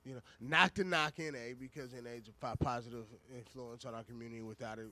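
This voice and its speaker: A man's voice: medium pitch, steady tone, bright, forceful tone. A man co-hosting a radio show with casual, slangy banter, agreeing often and repeating his words. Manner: agreeable, echoes and repeats words in rapid affirmations.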